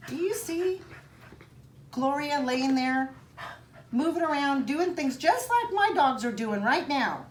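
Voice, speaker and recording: A woman reading a children's picture book aloud in an expressive, child-directed way, with chatty asides to young listeners. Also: sits high, takes it slowly. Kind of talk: animated storybook read-aloud for children with casual asides. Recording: roomy echo, some background noise.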